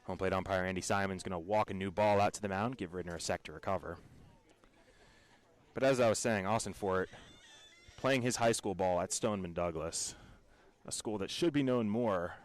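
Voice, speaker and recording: A man with an American accent calling baseball play-by-play for radio in a measured, descriptive storytelling way. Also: sits low. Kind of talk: radio sports commentary.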